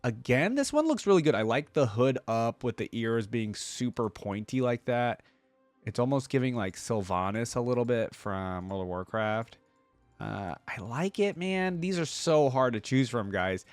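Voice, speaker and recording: A man's voice: medium pitch, no echo. A man giving casual, slangy reaction commentary as a video game host talking to his viewers. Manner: informal, slangy, addresses viewers.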